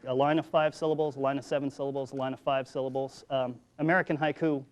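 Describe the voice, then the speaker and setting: A man's voice: mid-range voice, flat delivery, fast talker. A talkative, playful man chatting casually as a guest poet, sometimes reciting short poems. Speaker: guest haiku poet and slam champion. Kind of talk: casual chat with poem recitation.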